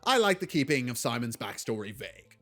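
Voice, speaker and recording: A man giving a scripted video essay review as a film critic, in a measured, articulate and analytical way. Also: medium pitch, sing-song, fairly bright tone. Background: background music.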